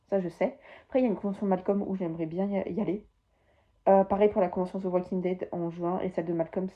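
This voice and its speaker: A woman's voice: on the low side, warm tone, highs cut off. A teenage girl vlogging from her bedroom, chatting casually and enthusiastically about her souvenirs, rambling as she goes. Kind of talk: casual chat to camera.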